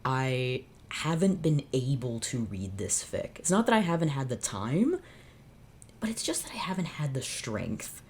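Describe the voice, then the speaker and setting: A woman's voice: rumbling low, sing-song, unhurried, a little mellow, slightly rough. A woman hosting her channel, reading fanfiction aloud unscripted and reacting as she goes, in a sardonic, dramatic way.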